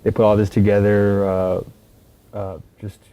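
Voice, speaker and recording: A man with a low, mellow voice talks casually, rambling and leaning on "you know" again and again. A faint room hum sits behind him. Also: completely flat delivery, measured pace, poor audio. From San Antonio, Texas. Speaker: guest. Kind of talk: casual podcast chat.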